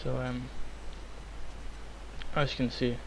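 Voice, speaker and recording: Young guy, croaky sick voice, flat delivery, cheap mic with a bit of hiss. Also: mid-range voice, slow. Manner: rambling, many ums. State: hoarse from illness. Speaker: tutorial maker. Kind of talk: screen-recorded software tutorial. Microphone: cheap microphone.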